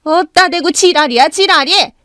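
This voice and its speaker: A woman's voice: high voice, expressive, moderate pace, no echo, studio quality. A woman voicing a scornful, insulting older mother-in-law who shrieks and sneers. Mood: shrieking.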